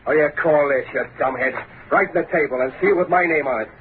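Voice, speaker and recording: A man's voice: fairly high pitch, fast talker, narrow, tinny audio, close to an everyday voice. Casting: a man to play a sneering racketeer's thug for a vintage radio serial, pushy, thin sound with light noise. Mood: scornful, bullying. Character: bullying, sneering gangster henchman collecting protection money. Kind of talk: 1930s-40s radio drama acting.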